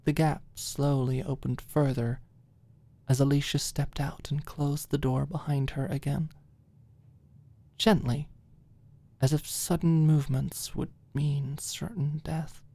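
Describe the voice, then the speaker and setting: A woman narrating a scripted story as a soft ASMR-style audiobook. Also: low voice, steady tone, takes it slowly.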